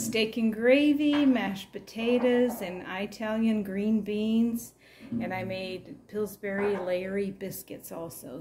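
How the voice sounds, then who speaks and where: A woman's voice: mid-range voice, dark tone, a little hiss or hum. A middle-aged woman casually narrating her home cooking, chatty and homey. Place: home kitchen.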